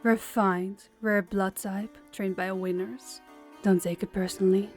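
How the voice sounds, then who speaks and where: A woman's voice: mid-range voice, steady tone, a little slow, faint music behind, close to an everyday voice. A woman playing a smug, boastful vampire rival in an audio drama, with sing-song condescension. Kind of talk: cinematic audio roleplay acting.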